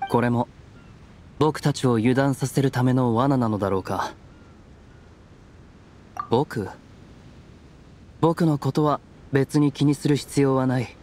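A man's voice: mid-range voice, slow pace, pauses often, a little mellow, slight background noise, natural voice. An actor playing a stoic, guarded young nobleman in a video game, serious and restrained. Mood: calm, protective. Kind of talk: Japanese video game voice acting. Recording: studio voice over game music.

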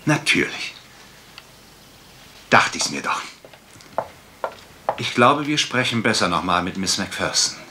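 Radio drama, obliging male detective, deep voice, conversational musing, noisy recording. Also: very animated, a little slow, pauses often, heavily acted. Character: easygoing male detective partner, obliging and thoughtful. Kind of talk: radio crime drama acting.

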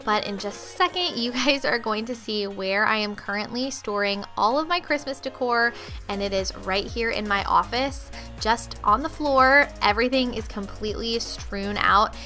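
A woman's voice: medium pitch, moderate pace, pushed and bright, faint music behind. A young woman narrating her cleaning vlog in a friendly, chatty voice-over, talking straight to her viewers. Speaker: cleaning vlogger.

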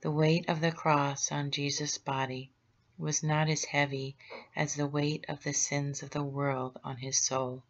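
Brief: woman reading as a sorrowful holy mother, low and even, tender and solemn, dull lo-fi sound. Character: gentle grieving mother of Jesus, middle-aged woman. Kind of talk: devotional meditation read aloud.

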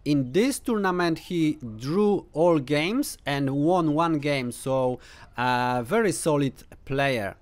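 A man in his thirties with a Polish accent, a native Polish speaker, casually commentating a chess game to camera from a room at home. He talks unhurriedly with lots of "uh" fillers and playful asides. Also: fairly high pitch. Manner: unhurried, thinking aloud with many uh fillers, playful teasing asides. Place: home room with bookshelves, indoors.

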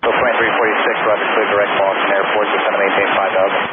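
Low, flat male controller on noisy radio. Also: rapid-fire. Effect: aviation radio. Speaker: air traffic approach controller. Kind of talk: terse procedural radio instructions. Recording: tinny, very noisy air traffic radio.

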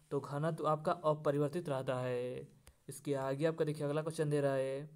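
A man teaching an exam-prep lesson, reading out questions and then giving the answers in a formulaic, repetitive way. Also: fairly high pitch. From India.

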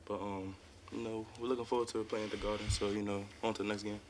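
A man's voice: lowish voice, level tone, quick pace, noisy background. A young man, a college basketball player, answering reporters at a postgame press conference, laid-back and full of "um" and "like" fillers. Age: young adult. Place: press room in front of sponsor backdrop. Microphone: table mic in front of him.

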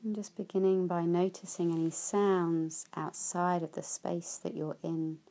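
Slow, soft-spoken woman guiding deep relaxation. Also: little pitch movement. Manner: soft, slow, with whispered phrase endings. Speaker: yoga nidra teacher. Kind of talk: guided relaxation meditation.

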